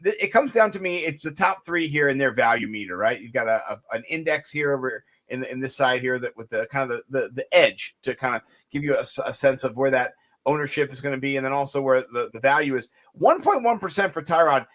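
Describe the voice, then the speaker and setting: Man, raspy, animated, swooping pitch, casual sports co-host, restarts a lot. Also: quick pace, few pauses. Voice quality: raspy today. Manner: animated, jokey, rambling with restarts. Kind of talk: casual fantasy sports chat.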